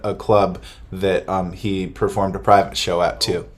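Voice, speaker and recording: A man interviewing casually, halting and restarting often. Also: low voice, little pitch movement.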